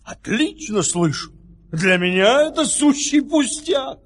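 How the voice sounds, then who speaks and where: An actor playing an eccentric, eager fairy-tale helper, speaking emphatically in a theatrical audio-play style. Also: male voice, very high voice, lively intonation, slow, in a large hall, full character voice. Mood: proud, eager.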